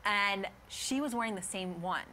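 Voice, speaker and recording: A woman's voice: mid-range voice, moderate pace, sharp tone, a little hiss or hum. A young woman hosting a casual fan recap show, chatty and upbeat with plenty of fillers. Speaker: host.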